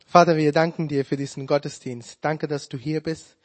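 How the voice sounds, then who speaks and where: A man whose first language is Tamil, preaching a sermon in a slow, deliberate way with touches of humor. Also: on the high side, steady tone, no echo.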